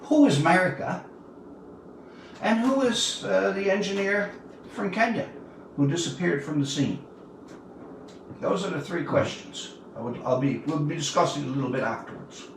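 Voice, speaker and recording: Older man, higher-pitched, in an echoey room. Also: animated, moderate pace, pauses often. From Newfoundland, Canada. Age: about 70. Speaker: writer reading his work to viewers. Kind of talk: informal livestream talk and storytelling. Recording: distant mic, roomy echo, audible noise.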